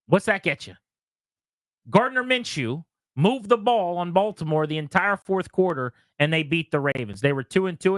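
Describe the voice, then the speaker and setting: A man hosting a sports talk radio show who argues his points in an animated, argumentative way. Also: high-pitched, no echo, studio quality. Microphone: studio mic.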